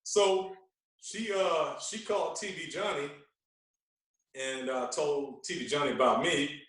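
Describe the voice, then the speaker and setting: A man telling stories in casual conversation as a podcast guest, animated and often repeating words as he goes. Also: fairly high pitch, a little slow. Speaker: podcast guest, veteran radio host. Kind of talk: casual chat, storytelling. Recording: echoey room, distant from mic.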